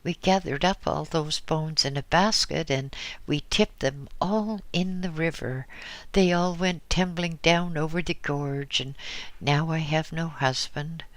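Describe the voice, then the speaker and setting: A desperate old widow, raised well above the man's own voice, pleads in slow tearful bursts. Her anguish keeps cracking upward. The reading is close and dry. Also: fairly even intonation, fairly bright tone, dry recording. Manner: tearful, pleading, breaking into high anguished outbursts. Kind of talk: audiobook reading.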